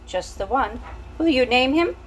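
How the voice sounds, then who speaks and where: A woman's voice: sits high, expressive, unhurried, pitched above the performer's own voice, low-quality recording. A woman voicing a gloomy, practical father mouse who speaks bluntly. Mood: plain.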